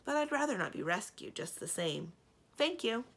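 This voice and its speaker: A woman voicing a strong-willed young storybook princess who speaks in a brisk, emphatic, lecturing way. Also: mid-range voice, big pitch swings, vocal fry, a bit bright, pitched above the performer's own voice, heavily acted. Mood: firm. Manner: emphatic, lecturing, matter-of-fact. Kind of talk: storybook character dialogue.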